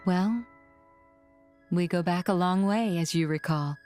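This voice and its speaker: An actress playing a calm, caring, frank female companion and confidante in a video game cutscene, gentle and unhurried. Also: lowish voice, soft background music, close to an everyday voice. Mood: gentle concern.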